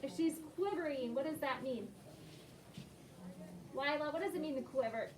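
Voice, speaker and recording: A woman teacher with a fairly high voice leads a read-aloud discussion in an echoey room. She prompts with questions and affirms answers. The microphone is at a distance, with clear noise behind her. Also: moderate pace. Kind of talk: interactive read-aloud lesson with children.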